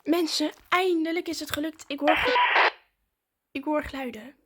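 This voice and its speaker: A woman's voice: high voice, moderate pace, very noisy. A woman chatting informally to her viewers in a casual vlog, narrating what she is doing as she goes. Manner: informal, chatty, narrates own actions as they happen. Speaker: vlogger.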